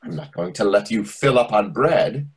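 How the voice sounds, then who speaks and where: A man in his thirties playing a fussy, condescending waiter with a deliberate, pompous manner in a comedy reading over a video call. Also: on the low side, lively intonation, husky. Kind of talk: readers theatre comedy acting. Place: indoor room on a video call. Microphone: video call microphone.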